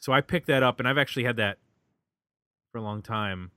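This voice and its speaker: An American man hosts a casual music podcast in a bright, forward voice. His delivery is wordy and halting as he works through his points. The sound is dry and close, with almost no room. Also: medium pitch, no echo. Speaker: podcast host.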